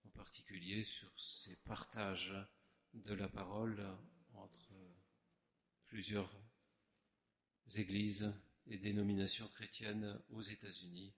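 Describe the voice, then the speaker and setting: A man, the priest hosting the gathering, giving a measured, formal introduction of a guest speaker. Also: lowish voice, level tone, a bit bright.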